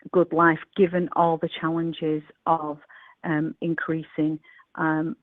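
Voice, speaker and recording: A woman's voice: low-pitched, dry recording. A woman panelist speaking over a video call, rambling with many fillers and restarts. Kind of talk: webinar panel discussion. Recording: tinny phone-like video call audio.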